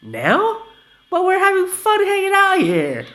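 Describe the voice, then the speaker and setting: Man reading as a drunk young hothead, high and loud, bellowing threats, very animated delivery. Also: unhurried, exaggerated voice. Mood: whiny, boastful. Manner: loud, yelling, high strained voice. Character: drunken hot-tempered young man with a shotgun.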